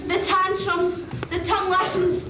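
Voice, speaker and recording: Very high-pitched, Scottish, downtrodden cheeky nursemaid acted by a woman, stage pantomime, projected, distant noisy recording. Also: completely flat delivery, a little slow. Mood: aggrieved. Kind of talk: amateur community pantomime stage acting. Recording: echoey hall stage, distant mic, noisy audience.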